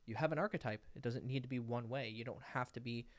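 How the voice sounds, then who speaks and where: A man giving casual dating advice, talking loosely and animatedly and acting out bits of example conversation, with a voice he calls fairly high-pitched. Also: quick pace. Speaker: dating coach. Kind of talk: casual advice monologue to camera. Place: indoors, sitting in a rocking chair.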